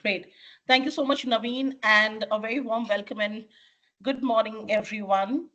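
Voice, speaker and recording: A woman with an Indian English accent and a husky voice from being unwell, opening a webinar as a trainer in an upbeat, polite way. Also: on the high side, fairly even intonation, moderate pace, bright, forceful tone, lo-fi sound. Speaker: leadership coach and trainer. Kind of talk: webinar presentation. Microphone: computer mic on video call.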